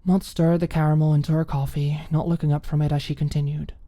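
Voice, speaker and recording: Low, mellow female audiobook narrator. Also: little pitch movement, fast talker, no room sound.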